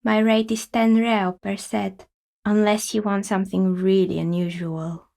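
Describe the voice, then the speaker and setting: A dry, pragmatic dicemaker lady for a video game, breathy gravelly voice, really close dry sound. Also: medium pitch, level tone, measured pace, warm tone, no echo. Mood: calm, businesslike. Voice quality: husky, breathy with some creak. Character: wry, pragmatic woman craftsperson, a novelty dicemaker. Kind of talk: video game character dialogue.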